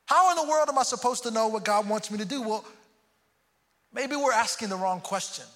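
A man's voice: high voice, brisk, bright, forceful tone. A man from Houston preaching a sermon, earnest and prone to repeating words as he starts a thought. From Houston, Texas. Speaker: pastor. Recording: long hall reverb.

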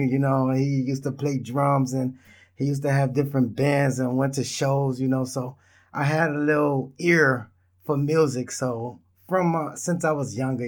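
Brief: thirties man from Israel, easygoing solo podcast host, rambles casually, middle pitch. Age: 30s. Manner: laid-back, rambling, talks straight to listeners.

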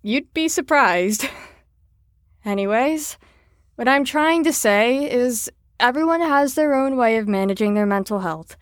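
A woman's voice: on the high side, expressive, unhurried, dry recording, studio quality. A woman in an audio drama playing an earnest, caring facility staffer who talks in a reassuring, sincere, slightly rambling way. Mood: earnest, encouraging.

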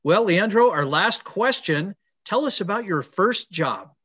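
Man, podcast host, encouraging, affirming, slightly high voice, very animated pitch. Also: measured pace, slightly rough, highs cut off. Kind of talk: podcast interview hosting.

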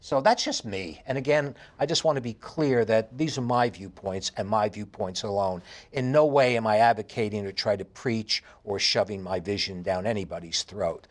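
A man's voice: fairly low pitch, normal speed, hardly any pauses. Man with a heavy New York accent. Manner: animated, emphatic storytelling. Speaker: interview guest. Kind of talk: candid conversational interview.

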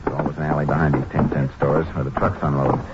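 An actor playing an undercover Texas Ranger posing as a streetwise drifter in a 1950s radio drama, with an unhurried, terse, slangy way of talking. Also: male voice, very deep voice, flat delivery, very noisy. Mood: cool, streetwise. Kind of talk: 1950s radio western crime drama acting. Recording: dull old-time radio recording, music behind.

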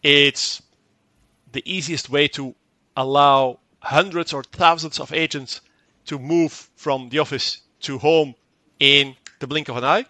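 A man's voice: fairly high pitch, fairly even intonation, measured pace, bright, forceful tone, dry recording. A man whose first language is Dutch, explaining a product in an interview and often repeating words as he goes. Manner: fluent but repeats words and restarts, acts out short quoted exchanges. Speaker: tech company guest.

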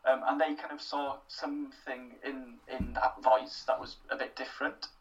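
A young man with a Welsh accent talks things through in an unhurried, self-deprecating way, often pausing on fillers and starting sentences over. He sits a little back from the mic, and the recording sounds somewhat muffled. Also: mid-range voice, steady tone, recorded from a distance. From Wales. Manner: thoughtful, self-deprecating, many fillers and restarts. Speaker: interview guest, writer. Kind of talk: casual interview.

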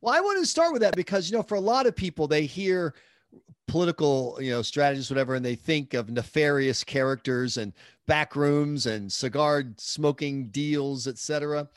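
A man's voice: fairly high pitch, dry recording, studio quality. A man from the southern United States hosting a podcast interview, chatty and joking. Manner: chatty, joking, quick asides. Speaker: podcast host. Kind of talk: conversational interview.

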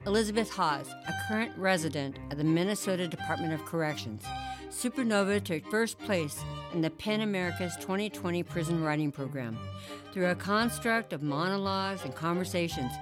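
Female podcast host: lowish pitch, deliberate scripted announcing, music bed underneath. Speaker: host introducing a podcast audio play. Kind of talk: scripted narration.

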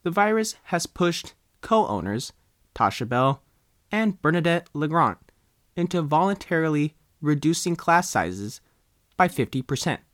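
Lively American man reading scripted news, dry sound. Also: fairly high pitch, no echo. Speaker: reporter reading own article.

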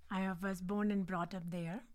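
A woman in her forties from India, speaking English with an Indian accent as a guest on a podcast, earnest and measured. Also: medium pitch, flat delivery, darkish tone. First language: not English. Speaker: guest, nurse anesthetist. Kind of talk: podcast interview.

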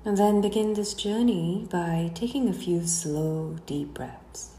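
Mellow, low-voiced woman guiding relaxation. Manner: unhurried, soothing, with trailing phrase endings. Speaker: guided meditation instructor. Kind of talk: slow guided relaxation narration. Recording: roomy echo, faint background noise.

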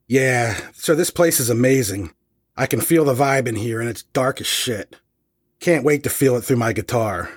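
A man's voice: medium pitch, normal speed, breathy edge, lightly acted. A man playing a crude laid-back rocker dude. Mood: excited. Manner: slangy, excitable, crude.

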